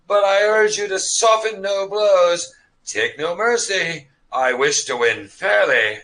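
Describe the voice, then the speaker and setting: A man's voice: high-pitched, slow pace, fairly bright tone, full character voice, poor audio. A man playing a chivalrous but cowardly knight in a mock-medieval comedy sketch, with formal, pompous, theatrical phrasing. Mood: noble, earnest. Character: florid, chivalrous young male knight, secretly cowardly.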